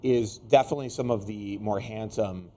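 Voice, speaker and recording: A man's voice: fairly low pitch, normal speed, dark tone, a little hiss or hum. A man hosting a casual, unscripted tech discussion, rambling and exasperated, tired and getting sick. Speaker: tech show host.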